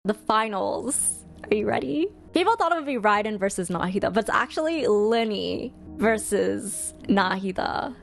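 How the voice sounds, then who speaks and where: A woman streamer giving casual, chatty fan commentary to her live chat in an expressive, slangy way. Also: mid-range voice, moderate pace, gravelly, faint music behind. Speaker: streamer hosting a ranking video.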